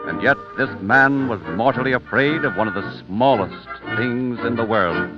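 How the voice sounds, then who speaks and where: A man narrating scripted history as a radio announcer, in a grand, declamatory vintage style with dramatic pauses. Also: mid-range voice. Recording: muffled vintage radio with music.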